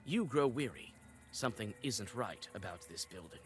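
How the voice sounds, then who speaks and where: A man giving measured, atmospheric scripted narration in a video game. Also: lowish voice, expressive, over music.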